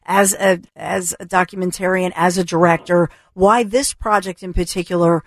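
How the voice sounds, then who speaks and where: A woman radio host asking interview questions, often halting and rephrasing midway. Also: lowish voice, steady tone, no echo, clean studio sound.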